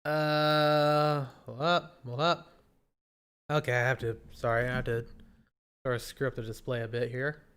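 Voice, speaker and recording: A man's voice: mid-range voice, little pitch movement, a little slow, a bit bright, clear, resonant tone. A man streaming, chatting casually and rambling in a self-deprecating way. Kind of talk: casual live stream talk. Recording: music playing behind.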